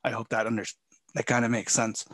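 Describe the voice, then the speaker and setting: A man coaching a live trading session from a home office, talking in a relaxed way with many fillers, a bit hoarse and often clearing his throat. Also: medium pitch, a little fast, no room sound. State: losing his voice.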